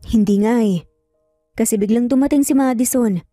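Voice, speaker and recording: A woman playing a frustrated male lawyer, mellow tone, insistent, earnest, romance storybook drama, music bed. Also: fairly high pitch, moderate pace, dry recording, close to an everyday voice. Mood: dejected.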